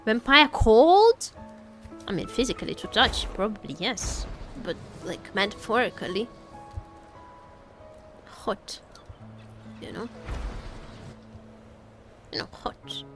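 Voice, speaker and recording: A woman's voice: fairly high pitch, very animated, takes it slowly, long pauses, faint music behind. A woman chatting casually as she livestreams a game, playfully repeating her phrases to viewers.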